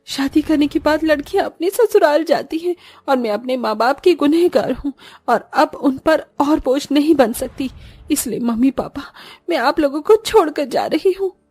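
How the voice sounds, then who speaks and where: Woman acting high-voiced sorrowful young bride. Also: lively intonation, moderate pace, darkish tone, no room sound, soft background music. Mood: despairing. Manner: earnest, plaintive. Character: sorrowful, pleading young bride, later a spirit.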